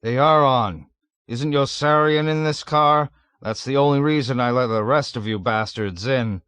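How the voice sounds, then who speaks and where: A man doing a loud drunken soldier driver. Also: medium pitch, moderate pace, clear, resonant tone, no echo, voice pushed up, natural voice. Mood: boisterous. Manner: loud, careless, jovial. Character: boastful drunken adult male soldier driver.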